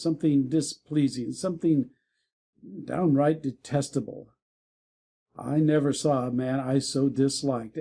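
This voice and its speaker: Man acting a frank young gentleman, slightly husky. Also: on the high side, heavily acted. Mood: puzzled, disapproving. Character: frank, worldly young gentleman, reticent about gossip. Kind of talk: dramatic audiobook reading.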